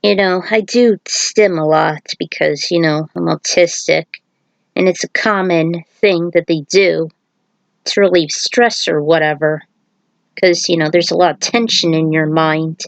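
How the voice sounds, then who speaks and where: A woman's voice: fairly low pitch, fairly even intonation, unhurried, vocal fry, no echo, rough recording. A woman narrating a fan fiction story.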